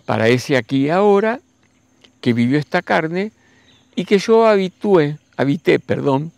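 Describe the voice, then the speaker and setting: A man, giving a slow, musing spiritual monologue and speaking straight to his listeners. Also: a little high, expressive, creaky, darkish tone, no room sound. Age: 60s. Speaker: spiritual teacher.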